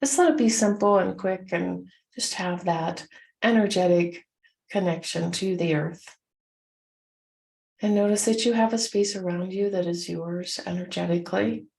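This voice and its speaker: A woman leading a guided meditation, gently instructing in short, soothing phrases with unhurried pauses. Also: on the low side, steady tone, gravelly.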